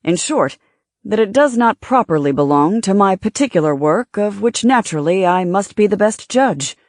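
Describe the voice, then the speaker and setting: A woman's voice: mid-range voice, expressive, moderate pace, darkish tone, no echo, studio quality, lightly acted. A woman voicing an earnest, pompous male film actor who speaks formally, as if giving a speech, in an audiobook.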